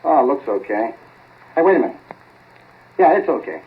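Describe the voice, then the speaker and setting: Laboratory assistant: eager, grumbly, lively inflection, vintage radio drama on a thin, phone-like recording. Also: male voice, mid-range voice, brisk. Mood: grumbling. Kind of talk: 1940s radio acting. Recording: tinny, scratchy 1940s radio recording.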